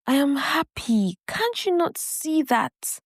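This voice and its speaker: An earnest, stubborn bride in love , acted by a woman storyteller, speaks emphatically in a storybook tale. Her pitch is lifted, and the sound is dry. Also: very animated, slow, no echo, heavily acted. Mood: indignant, defensive.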